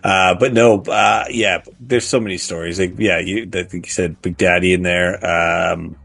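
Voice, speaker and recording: A man co-hosting a casual gaming chat, halting and often repeating himself. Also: sits low, fairly even intonation, creaky, fairly bright tone, breathy edge, soft background music. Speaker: podcast co-host. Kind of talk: casual chat about video games.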